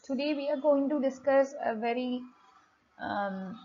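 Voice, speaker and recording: A woman giving an explanatory lesson as a lecturer, speaking haltingly with frequent pauses and repeated words. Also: sits high, dark tone. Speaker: lecturer, host of an economics channel. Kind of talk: explanatory lesson read from slides. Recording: dull, lo-fi home recording.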